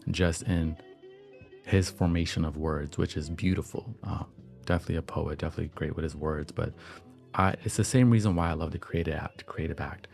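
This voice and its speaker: A slightly hoarse man hosting a livestream, chatting in a relaxed, reflective, slangy way over soft background music. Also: low voice. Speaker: podcast and livestream host. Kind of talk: casual livestream chat.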